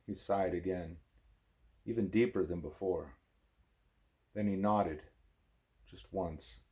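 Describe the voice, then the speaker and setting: A man reading an audiobook aloud as an expressive story narrator. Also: low-pitched, slow. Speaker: audiobook reader.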